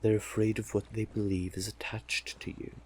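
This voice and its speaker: A man voicing a cunning, monstrous sea witch who coaxes silkily in slow, theatrical endearments, in an intimate audio roleplay with a gravelly, husky voice. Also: on the low side, level tone, a little mellow, slight background noise, close to an everyday voice. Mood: persuasive, coaxing.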